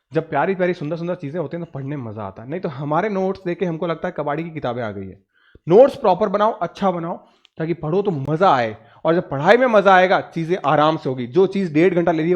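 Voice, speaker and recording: A man's voice: high voice, lo-fi sound. A man with an Indian accent lecturing students on exam preparation, emphatic and instructive, often asking and answering his own questions, with English words mixed in. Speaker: exam coaching teacher.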